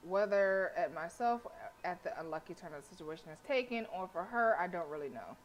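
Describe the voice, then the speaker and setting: A woman's voice: lowish voice, lively intonation, fairly quick. A woman streaming a game, reading story text aloud with blunt, sassy, casual asides. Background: game music.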